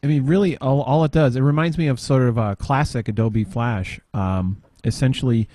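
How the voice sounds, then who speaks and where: A middle-aged man chatting casually on a podcast, explaining things in a rambling, halting way with trailing pauses. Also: mid-range voice, dark tone.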